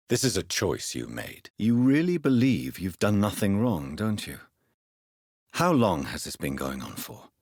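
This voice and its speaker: A principled, brooding English gentleman doctor turned vampire in dramatic video game style. The voice is very low and breathy, recorded close and dry. His delivery is formal and earnest, with a wide, expressive swing in intonation. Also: no echo, full character voice. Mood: courteous, earnest. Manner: formal, measured, earnest, intense. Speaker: video game lead character voice.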